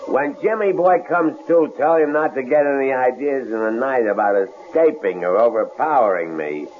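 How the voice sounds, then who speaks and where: A man's voice: medium pitch, animated, normal speed, close to an everyday voice. An actor in a 1970s radio drama playing a big, cold-blooded thug who taunts his captives with mocking, leering familiarity. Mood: gloating, dismissive. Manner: leering, mocking, folksy drawl-out phrasing. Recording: noisy, muffled old radio broadcast recording.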